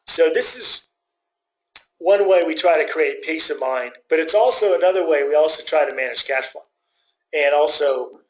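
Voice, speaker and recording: A man hosting a client conference call as a financial advisor, explaining things informally in a folksy, conversational way and thinking aloud with hesitant pauses. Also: a little high. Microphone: conference call line. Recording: dull muffled conference-call audio.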